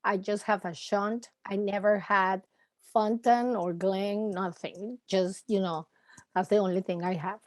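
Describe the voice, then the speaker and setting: A woman's voice: mid-range voice, steady tone, measured pace, dry recording. A woman from Venezuela casually introducing herself as a panelist. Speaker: patient panelist.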